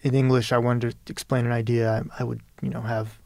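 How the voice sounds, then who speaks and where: A man's voice: medium pitch, little pitch movement, a little fast, creaky, a little mellow, no room sound. A man from Peru talking as a writer in an interview, thoughtful and searching, often restarting and adding "you know". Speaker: guest writer. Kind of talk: reflective interview conversation.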